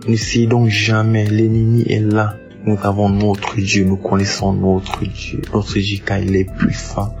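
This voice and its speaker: A man's voice: lowish voice, monotone, measured pace, hardly any pauses. A man giving a prayerful religious exhortation in short, repeated phrases. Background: faint music.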